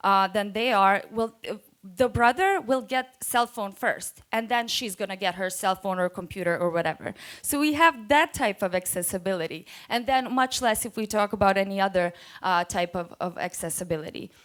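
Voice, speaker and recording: A woman from Bosnia and Herzegovina with a Bosnian accent, a non-native English speaker giving an earnest, unscripted talk as a panelist at a conference workshop, with many filler pauses. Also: medium pitch, fairly bright tone. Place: conference room.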